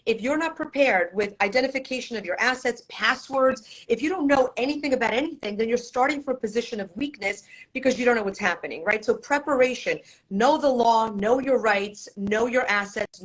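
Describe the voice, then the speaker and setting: A woman's voice: fairly high pitch, fairly quick, few pauses, poor audio. Confident Polish-born woman telling her story. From Poland. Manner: confident, storytelling, rhetorical questions. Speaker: matrimonial attorney, guest. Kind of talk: live-stream interview.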